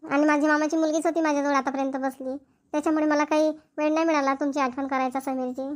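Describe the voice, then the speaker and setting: A woman's voice: sits high, flat delivery, fast, natural voice. A woman voicing a polite, devoted young bride-to-be in a family cartoon, speaking deferentially. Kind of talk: cartoon family drama voice acting.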